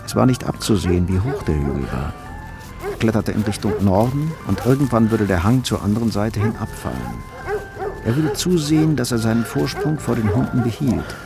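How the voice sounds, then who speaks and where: A man narrating a crime radio play in a sober, measured storytelling manner. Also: lowish voice, animated, gravelly, over music.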